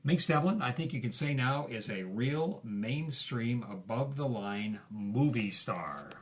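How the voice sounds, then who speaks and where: A man's voice: mid-range voice, lively intonation, a little slow. Man co-hosting, mid-range voice. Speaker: podcast co-host. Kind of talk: conversational film-history storytelling.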